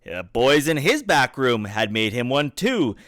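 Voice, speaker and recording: Dad reading a rhyming kids book out loud, mid pitched voice, expressive, dry close mic. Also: male voice, measured pace, no room sound. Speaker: father reading a children's book aloud. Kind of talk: storybook read-aloud in rhyme. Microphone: USB desktop microphone.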